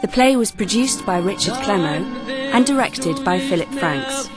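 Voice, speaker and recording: A woman's voice: mid-range voice, animated, moderate pace, loud music behind the voice. A woman radio announcer reading out scripted drama credits.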